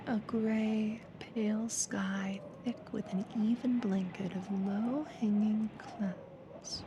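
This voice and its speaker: A woman playing a calm, quietly controlling therapist in a slow, breathy, whispered ASMR hypnosis roleplay, soothing yet ominous. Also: medium pitch, highs cut off, background noise, lightly acted. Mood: hushed, eerie.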